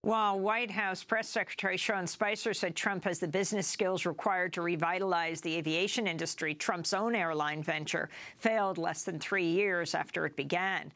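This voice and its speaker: A woman's voice: lowish voice, fairly even intonation, moderate pace, few pauses, a bit bright. American woman hosting a news program. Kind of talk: news presenting and interviewing, partly reading from script and articles.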